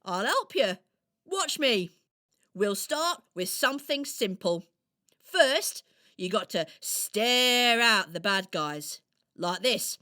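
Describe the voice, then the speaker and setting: Lady doing a little boy robin, brave and bossy in a nice way, super animated n bright, kids radio play. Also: medium pitch, slow pace. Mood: bold, instructing. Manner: bouncy, cheerleading, emphatic. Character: small brave male robin, bold encouraging coach. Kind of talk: children's radio play acting.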